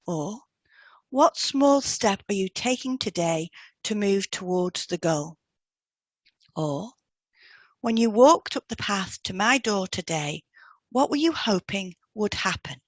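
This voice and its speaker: A woman's voice: mid-range voice, lively intonation, slow pace, long pauses, dry recording. Woman, close dry studio-like sound. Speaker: podcast co-host and hypnotherapist. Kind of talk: scripted podcast advice with example client questions read out.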